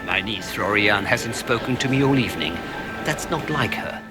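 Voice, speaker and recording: A man's voice: fairly low pitch, lively intonation, normal speed, close to an everyday voice. An actor playing a weary, grave older nobleman in a video game. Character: older male nobleman, uncle of a grand duke, weary and grave. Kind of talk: video game dialogue acting. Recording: game audio under loud music. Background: loud music.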